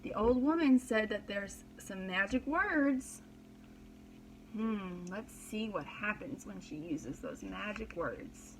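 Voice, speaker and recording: A woman teacher reading a storybook aloud to young children, deliberate and expressive, pausing to explain. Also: mid-range voice, a little hiss or hum, rough recording. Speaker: pre-kindergarten teacher.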